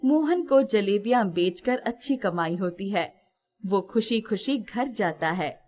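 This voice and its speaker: A woman's voice: medium pitch, lively intonation, unhurried, darkish tone. A woman narrating a scripted moral story as its storyteller.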